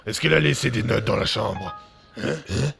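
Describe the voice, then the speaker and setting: Gravelly tough guy, man acting, blunt, swears a lot, mutters to himself. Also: medium pitch, moderate pace, over music. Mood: muttering. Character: tough streetwise man, agent in trouble. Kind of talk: audio drama acting.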